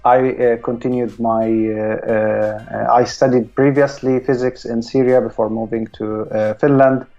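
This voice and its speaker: A man's voice: medium pitch, flat delivery, unhurried, hardly any pauses, dark tone, dull audio. A man from Palestine hosting a live stream, talking casually and hesitantly with many filler sounds.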